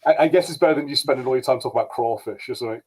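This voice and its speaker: A man co-hosting a casual pop-culture podcast, animated, jokey and sweary, slipping into mocking mimicry. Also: medium pitch, racing, a loud crowd. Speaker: podcast co-host.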